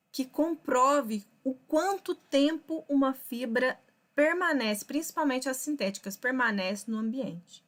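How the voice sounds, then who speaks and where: Slow, explaining woman host. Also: a little high, fairly bright tone. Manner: slow, explanatory, thinking aloud with fillers. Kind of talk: casual live chat. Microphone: video call.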